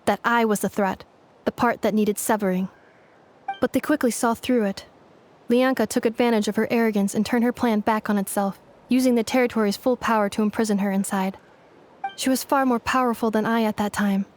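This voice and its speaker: Woman playing a calm android woman, formal and precise, slightly high voice, faint background hiss. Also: brisk, natural voice. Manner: even, formal, machine-like reporting. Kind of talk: game dialogue.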